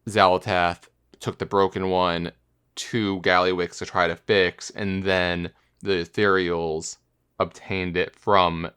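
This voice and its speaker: A man explains game lore in casual podcast chat. His voice is very low and resonant, and he keeps turning statements into questions for his co-hosts. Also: little pitch movement, slow, a bit bright, clean tone. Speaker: podcast co-host.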